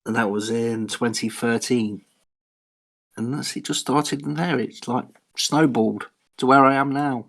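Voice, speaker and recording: A man chatting casually as a musician guest in an interview, rambling along with a jokey, deadpan way of telling things. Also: mid-range voice, a little slow, creaky. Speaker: guest musician on a radio show.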